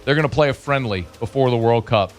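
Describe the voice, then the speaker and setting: A man hosting a sports talk radio show in a studio, teasing and needling his co-host in quick back-and-forth banter. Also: medium pitch, soft background music. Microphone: studio microphone.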